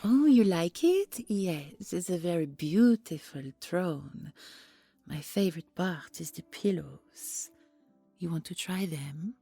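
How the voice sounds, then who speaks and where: A woman's voice: on the low side, expressive, slow pace, vocal fry, warm tone, slightly rough, dry recording, faint music behind, full character voice. A woman playing a sly, sugary griffin queen in an audio roleplay, gracious but condescending. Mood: sweetly gracious. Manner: cloying, condescending.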